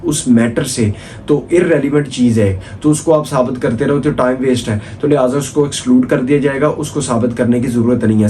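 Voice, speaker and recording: A man's voice: medium pitch, mellow, slight background noise. A man with a Pakistani accent giving an informal law lecture, repeating points, posing rhetorical questions and mixing in English legal terms. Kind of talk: informal exam-oriented lecture.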